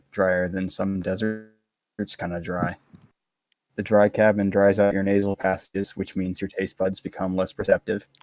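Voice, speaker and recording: A man's voice: low voice, a little mellow, no room sound. A man reading an explainer script aloud to friends in an online voice chat, tripping over words and breaking off to joke.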